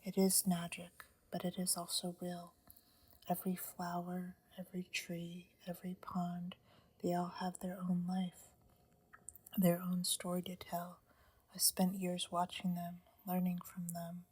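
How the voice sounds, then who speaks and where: A woman whispering as a gentle old French painter. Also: fairly low pitch, monotone, husky. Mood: serene. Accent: French, put on. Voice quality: soft whisper. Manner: slow, reflective, tender.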